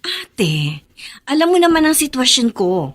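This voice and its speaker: Lively, high, defensive younger sister. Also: female voice, a little slow, full character voice. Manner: defensive, protesting. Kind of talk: radio drama acting.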